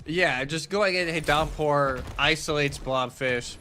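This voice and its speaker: A man's voice: fairly high pitch, unhurried, bright, forceful tone. A man doing live play-by-play commentary on an esports match. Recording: clear background noise.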